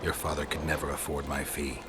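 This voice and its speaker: A man playing a stoic, wry mercenary monster hunter in a fantasy video game, terse and deadpan. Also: a bass voice, fairly quick, a little hiss or hum. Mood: dry, wry. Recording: music behind the voice.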